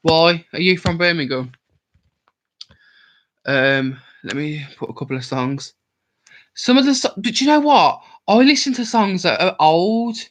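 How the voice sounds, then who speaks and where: A man's voice: fairly high pitch, animated, a little slow, pauses often, a bit bright. A young man from Worcestershire with a West Midlands accent, drunk and rambling as he chats with viewers on a livestream. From Worcestershire, England. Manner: rambling, chatty, answers viewer comments aloud. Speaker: livestream host. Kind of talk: casual livestream chat with viewers.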